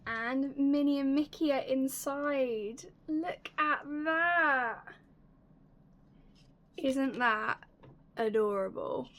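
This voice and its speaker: A young woman hosting a casual unboxing vlog, chatty and enthusiastic, her voice slightly raspy and going hoarse. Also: sits high, very slow, pauses often, highs cut off. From United Kingdom. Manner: chatty, enthusiastic, drops to soft whispers at times. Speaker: YouTube host.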